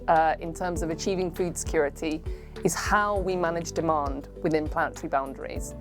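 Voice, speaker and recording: A woman with a fairly low voice who speaks in a clear, structured way as a conference expert. Soft music sits faintly underneath. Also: steady tone, brisk. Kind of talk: interview remarks.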